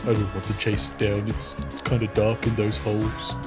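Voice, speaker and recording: A man's voice: fairly low pitch, completely flat delivery, normal speed, over loud music, natural voice. A man playing a formal, resigned otherworldly hero with a stiffly polite, hesitant way of talking.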